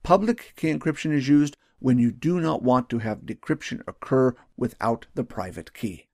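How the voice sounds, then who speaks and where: A man's voice: mid-range voice, normal speed, darkish tone, no room sound, studio quality. A man narrating a scripted training video as an instructor, explaining things in a formal, methodical, carefully enunciated way.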